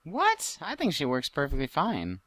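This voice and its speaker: A man's voice: fairly high pitch, wildly swooping pitch, normal speed, a bit bright, dry recording. A man co-hosting a casual podcast, teasing the others with jokey banter. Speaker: podcast co-host.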